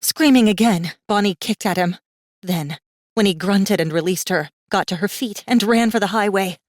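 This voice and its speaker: A woman's voice: mid-range voice, moderate pace, dry recording, clean studio sound. A woman narrating a fantasy audiobook in a dramatic, scripted style with tense, suspenseful storytelling.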